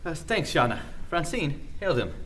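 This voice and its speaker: A man's voice: a little high, big pitch swings, normal speed, fairly bright tone, slightly rough, exaggerated voice. Guy playing roguish jolly space commander in a radio play, folksy, lots of uhs, voice swings all over. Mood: wry. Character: roguish, good-humored male starship commander. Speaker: actor. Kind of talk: full-cast sci-fi radio play.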